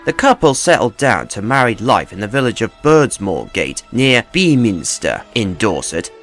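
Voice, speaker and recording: A man narrating a true tale as scripted, dramatic storytelling in a measured, grave manner. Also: medium pitch, a bit bright.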